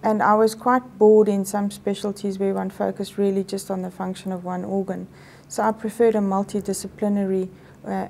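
A middle-aged South African woman, an Afrikaans speaker, answers in a measured, explanatory way. Her voice is mellow and a little gravelly, recorded in a quiet office set with faint room hiss behind. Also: medium pitch. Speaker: medical professor. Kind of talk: interview answers. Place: office interview set, indoors.

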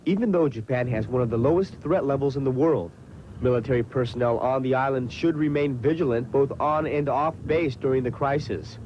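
A man's voice: mid-range voice, a little fast. A young man with an American accent delivers a scripted, formal news report as a military field reporter. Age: young adult. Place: outdoors by a base gate. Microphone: handheld mic. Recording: outdoor street and vehicle noise. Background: clear outdoor traffic noise.

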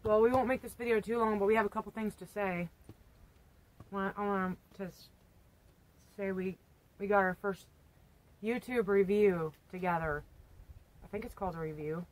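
A woman's voice: medium pitch, moderate pace, long pauses, rough recording. A woman in her thirties with a mid-range voice, chatty and blunt, poking fun at herself. She talks outdoors on a sunny porch into a camera a few feet away. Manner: chatty, blunt, self-mocking. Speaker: homesteading vlogger. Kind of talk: casual chat. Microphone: camera on a tripod a few feet away.

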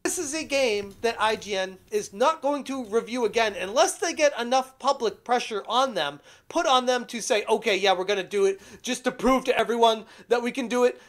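A man's voice: very high-pitched, brisk, few pauses, a bit bright. A man making an opinionated commentary video, speaking in an emphatic, complaining way. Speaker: YouTube commentator.

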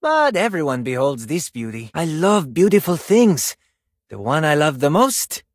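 A man's voice: fairly high pitch, very animated, a little slow, no room sound, clean studio sound, exaggerated voice. A man voicing a vain, graceful Spanish male ballet dancer for a video game, theatrical and self-admiring, with a Spanish accent. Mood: smug. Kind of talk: video game character voice lines.

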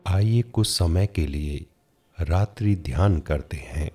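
A man narrating a scripted guided meditation in a measured, instructional manner with frequent pauses. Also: low voice, warm tone, husky.

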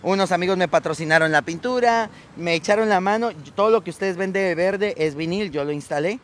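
A man's voice: high-pitched, fairly quick, a little hiss or hum. A man in his thirties, born in Colombia and raised in Mexico, a clown talking casually and slangily outdoors into a held microphone. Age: 30s. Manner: relaxed, slangy and chatty. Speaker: clown and guest. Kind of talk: casual chat. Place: seaside street outdoors in sunshine. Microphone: interviewer's handheld microphone.

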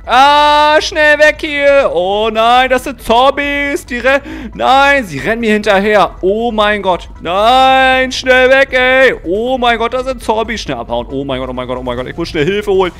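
High-pitched dramatic young man, gaming. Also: animated, measured pace, few pauses, a bit bright, clean tone. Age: young adult. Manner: dramatic, urgent, slangy. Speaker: video creator playing a roleplay game. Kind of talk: excited gameplay roleplay narration. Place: indoor streaming room. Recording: music behind the voice.

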